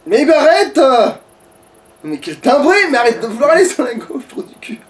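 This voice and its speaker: High-pitched man, sweary let's play commentator who grumbles as he plays; picked up from a distance over game music. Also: big pitch swings, moderate pace. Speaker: player on a gaming let's play. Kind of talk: casual gaming commentary with a co-player.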